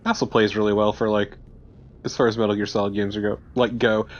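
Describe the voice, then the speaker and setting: A man's voice: lowish voice, flat delivery, quick pace, lo-fi sound. A man giving casual let's play commentary as a co-host, joking, sarcastic and given to swearing. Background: video game ambience.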